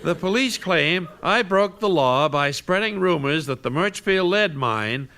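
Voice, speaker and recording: An imperious, wealthy society woman, acted out by a man in a radio soap spoof, forever complaining and indignant. The voice is bright and creaky, with faint noise from an old radio recording. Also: fairly high pitch, animated, unhurried, voice pushed up, exaggerated voice.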